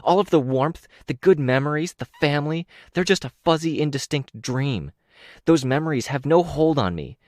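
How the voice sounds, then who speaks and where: Cold, threatening young woman, raised pitch, a male narrator doing her, dry read. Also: lively intonation, moderate pace, dry recording, lightly acted. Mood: menacing. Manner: hard, threatening, clipped. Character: cold menacing young woman, former killer.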